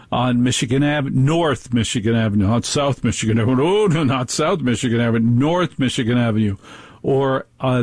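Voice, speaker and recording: A man's voice: medium pitch, darkish tone, slightly rough, dry recording. A man hosting a radio talk show, ranting in a rambling monologue and mocking others in put-on voices.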